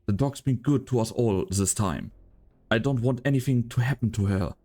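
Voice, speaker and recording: A man playing an anxious, soft-hearted young henchman in an amateur game fan dub, excitable and stammering. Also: mid-range voice, moderate pace, close to an everyday voice. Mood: panicked, worried.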